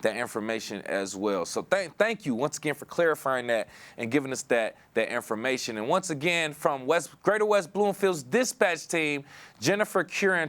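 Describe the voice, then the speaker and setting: A man's voice: on the high side, a bit bright. An American man hosting an interview, conversational and wordy, often repeating words as he works toward a question. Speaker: interview host.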